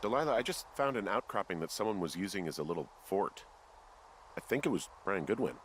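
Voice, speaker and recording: A deep-voiced, easygoing male fire lookout in a naturalistic game drama. He talks casually, with dry, self-mocking wit. There is faint ambient noise behind the voice. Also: animated, fairly quick. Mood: casual, curious. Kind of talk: naturalistic video game dialogue.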